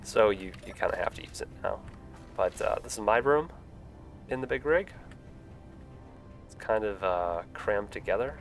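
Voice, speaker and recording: A man's voice: lowish voice, moderate pace, gravelly, pauses often, soft background music. A young man with a stuffy voice from a cold, chatting casually with lots of fillers while live-streaming a building game. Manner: relaxed, rambling, frequent fillers.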